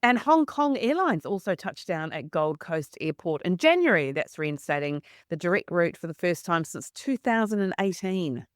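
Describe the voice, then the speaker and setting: Woman hosting, lower voice. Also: lively intonation, normal speed, few pauses, no room sound, clean studio sound. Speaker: podcast host. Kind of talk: travel podcast hosting.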